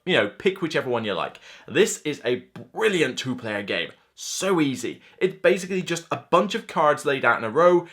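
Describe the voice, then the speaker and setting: Animated man, chatty reviewer. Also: medium pitch. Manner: animated, chatty, acts out imagined reactions. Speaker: board game reviewer, video host. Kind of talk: casual unscripted review to camera. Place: indoor room lined with shelves of board games.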